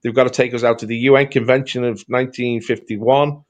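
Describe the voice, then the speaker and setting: A man with a Scouse accent gives political commentary in a talk show discussion. His delivery is emphatic and rhetorical, leaning on repeated phrases to press each point home. His voice sits at an ordinary male pitch. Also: flat delivery, a little fast, lo-fi sound. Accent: Liverpool Scouse. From Liverpool, England.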